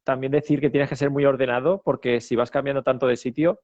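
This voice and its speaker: A man's voice: medium pitch, steady tone, quick pace, a little mellow, no room sound, highs cut off. A man from Spain hosting an interview, asking long, rambling questions full of fillers and tag questions. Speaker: interviewer host. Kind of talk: interview conversation.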